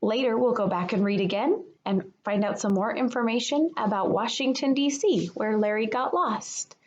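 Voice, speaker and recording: A woman, a kindergarten teacher, reading a children's picture book aloud in an expressive manner for young listeners. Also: fairly high pitch, moderate pace, highs cut off.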